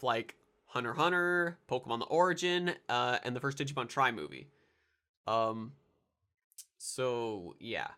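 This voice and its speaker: A man's voice: medium pitch, pushed and bright. A congested young man with a nasal voice, rambling casually through anime commentary with lots of fillers and restarts. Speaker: anime video reviewer.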